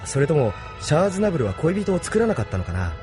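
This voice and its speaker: A young, unsure resistance leader in an anime-style audio drama. His delivery is gentle and reflective, with expressive swings in pitch, over a music bed. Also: racing. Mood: pensive.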